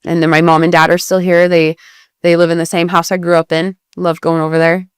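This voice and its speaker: A woman in her thirties from Idaho talks easily and upbeat, filling her sentences with little fillers and restarts. Her voice sits on the low side and comes across smooth and clear, recorded close and dry with no room sound. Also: level tone, fairly quick, dry recording. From Idaho, USA. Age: 30s. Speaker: real estate agent guest. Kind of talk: casual interview chat.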